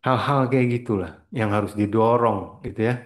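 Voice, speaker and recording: Rambling low-voiced man. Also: steady tone, fairly quick. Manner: rambling, mixes English words, frequent throat clearing. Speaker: spiritual trainer. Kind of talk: casual motivational talk.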